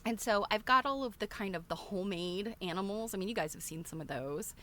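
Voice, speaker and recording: A woman's voice: medium pitch, brisk, bright, forceful tone. A woman chatting casually to regular viewers as a livestream host, rambling along in a conversational way. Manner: chatty, rambling, often breaks into laughter.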